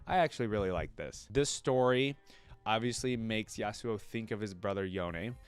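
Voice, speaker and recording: A man's voice: lowish voice, normal speed, clean tone, faint music behind. A man hosting a reaction video, chatting informally and speaking straight to his viewers. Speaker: reaction video host and streamer. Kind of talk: casual reaction commentary.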